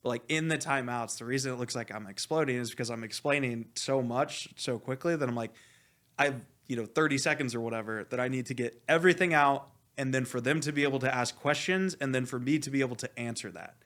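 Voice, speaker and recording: Male coach, animated and outspoken, rambling candidly in a casual interview, mid-range voice. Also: mid-range voice, fast talker. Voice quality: loud voice. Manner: animated, rambling, heavy use of "like"; blunt, swears freely. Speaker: esports coach being interviewed.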